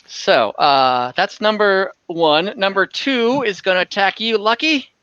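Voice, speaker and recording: A game master narrating a tabletop role-playing game informally, thinking out loud while tallying dice over an online call. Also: medium pitch, animated, a little slow, smooth, no echo, lo-fi sound. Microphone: online group call.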